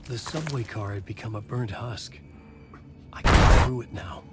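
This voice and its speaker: A man's voice: mid-range voice, normal speed, close to an everyday voice. An actor playing a haunted writer, narrating his nightmare in terse, brooding first person for a game. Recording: loud music behind the voice. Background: loud music.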